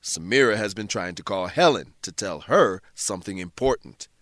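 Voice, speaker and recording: A man's voice: lowish voice, expressive, moderate pace, a bit bright, dry recording, studio quality. A man narrating a radio drama for English learners in simple, scripted sentences. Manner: simple sentences with rhetorical questions.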